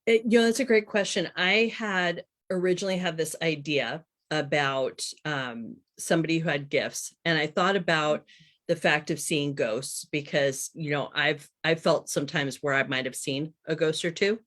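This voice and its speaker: A woman's voice: deep voice, moderate pace, fairly bright tone. A woman in her fifties speaking as a guest author, chatting casually and explaining things in an interview. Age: 50s.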